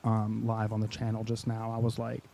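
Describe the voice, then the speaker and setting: A man's voice: lowish voice, monotone, moderate pace, gravelly, dark tone, a little hiss or hum. A man hosting a live stream, chatting enthusiastically with a guest and passing on questions from chat. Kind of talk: casual interview chat.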